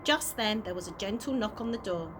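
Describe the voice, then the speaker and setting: A woman reading a children's chapter book aloud as an expressive storyteller. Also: mid-range voice, fairly quick. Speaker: early years practitioner reading a story. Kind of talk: children's audiobook read aloud. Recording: noisy amateur home recording.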